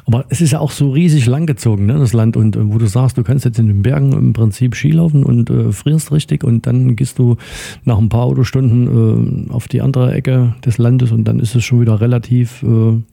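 A man hosts a casual radio chat. His voice is very dark and mellow, with a gravelly fry to it. He rambles along chattily as he talks. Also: mid-range voice, fairly even intonation, brisk. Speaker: radio show host.

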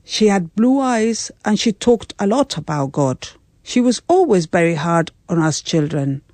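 Mellow woman's voice-over, dry and close. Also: lowish voice, big pitch swings, a little slow, dry recording. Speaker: voice-over reader of a translation. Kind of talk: first-person narrated translation.

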